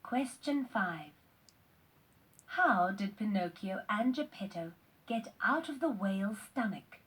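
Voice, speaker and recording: A woman reading scripted quiz questions and answers for children in a deliberate, instructional way. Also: medium pitch, lively intonation, fairly bright tone, husky. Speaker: narrator of a children's read-along quiz.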